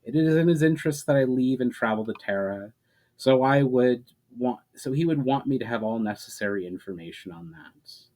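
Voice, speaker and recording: A man's voice: mid-range voice, lively intonation, normal speed, darkish tone, voice pushed up, natural voice. Man reading as grave, troubled male mage. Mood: reassuring. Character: troubled, earnest male mage haunted by visions.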